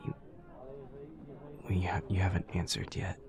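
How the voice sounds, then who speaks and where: A man's voice: low-pitched, level tone, moderate pace, a crowd in the background, lightly acted. A man playing an awkward, earnest young demon student in an audio roleplay, speaking in a formal, literal, over-explaining way. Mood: earnest, matter-of-fact. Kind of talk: audio roleplay acting, addressing the listener.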